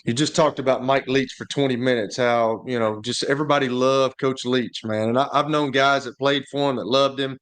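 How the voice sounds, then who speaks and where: Guy cohosting sports talk, medium pitch, informal storyteller, repeats himself a bit. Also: steady tone, fairly quick, hardly any pauses, fairly bright tone. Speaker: sports talk co-host, former college player. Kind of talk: casual chat and storytelling.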